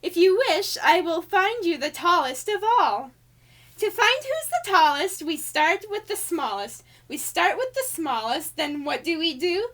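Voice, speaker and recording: A woman's voice: very high-pitched, very animated, a little slow, a bit bright, full character voice. A woman voicing a female cartoon angel who reads a rhyming storybook to children in a showy, sing-song way with exaggerated emphasis. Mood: bright, excited. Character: Alice Angel, female cartoon angel reading a bouncy birthday storybook.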